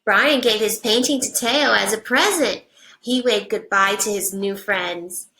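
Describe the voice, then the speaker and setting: A woman teacher reading a picture book aloud to young children, with simple deliberate phrasing and hushed asides. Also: medium pitch, low-quality recording. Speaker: first grade teacher.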